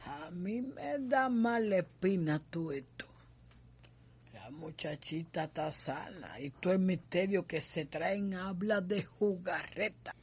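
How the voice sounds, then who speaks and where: Anxious, slow-talking Cuban servant woman. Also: on the low side, lively intonation, darkish tone, slightly rough, heavily acted. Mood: foreboding. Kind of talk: radio soap opera acting.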